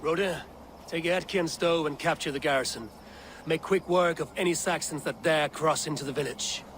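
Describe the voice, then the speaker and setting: Casting: male actor as resolute, vengeful Viking leader, fairly high voice, game drama, noisy outdoor backdrop. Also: normal speed, fairly bright tone, close to an everyday voice. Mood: grave, resolute. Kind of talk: dramatic video game cutscene acting.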